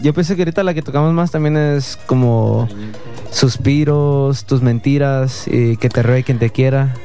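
A man's voice: medium pitch, normal speed, dark tone, soft background music. A man in his twenties, a band member, answering interview questions in an earnest, grateful way. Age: 20s.

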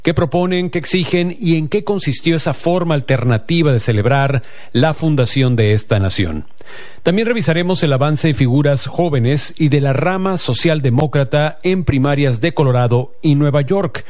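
A man hosting a call-in radio program, reading the intro and then interviewing a guest in a measured announcer's manner. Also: fairly high pitch, lively intonation, darkish tone. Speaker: radio show host.

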